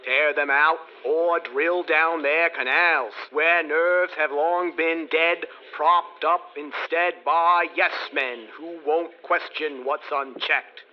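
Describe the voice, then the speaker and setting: A man playing a troubled king charged with treason, speaking in a measured, earnest way in verse for a radio drama. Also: fairly high pitch, fairly even intonation. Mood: brooding. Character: troubled modern king on trial. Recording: dull lo-fi audio with faint hiss.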